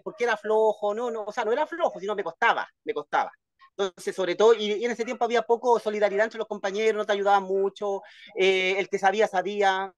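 A man's voice: high voice, dry recording. Chilean man chatting, close dry sound. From Valparaíso, Chile. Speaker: guest, poet. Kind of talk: casual storytelling in an interview. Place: at home, video call.

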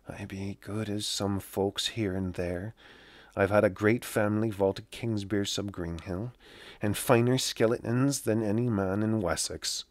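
A man's voice: on the low side, fairly even intonation, a little slow, no room sound, lightly acted. A man voicing a boastful, self-important country husband in pompous rustic dialect for a storybook.

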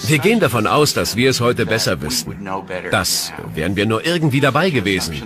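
A man's voice: on the low side, big pitch swings, brisk. A man speaking dubbed commentary for a documentary. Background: background music.

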